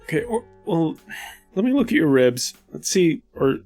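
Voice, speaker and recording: A man playing a concerned, caring young male companion in a tabletop game, speaking haltingly and repeating himself. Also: on the high side, sing-song, darkish tone, soft background music, pitched above the performer's own voice. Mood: concerned, soothing.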